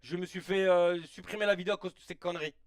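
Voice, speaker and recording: A man in his late thirties hosting a casual live stream, reacting excitedly to a football match in slangy chat and shouting at the players. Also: high voice, fast, dry recording, studio quality, far from the mic. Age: 30s. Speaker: live-stream watch-along host.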